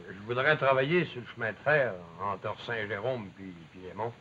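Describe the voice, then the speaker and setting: A man's voice: mid-range voice, animated, moderate pace, pushed and bright. A man playing a humbled, plain-spoken woodsman with a Quebec French accent, terse and hesitant, in 1950s television acting. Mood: humble, pleading. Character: big humbled woodsman, reformed drinker, pleading father. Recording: muffled, faintly noisy 1950s soundtrack.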